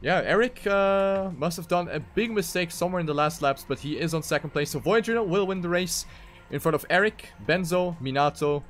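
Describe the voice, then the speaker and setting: A man with a fairly high-pitched voice calls an online racing game live. He speaks as an esports commentator following positions and results. Music plays underneath his voice. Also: brisk.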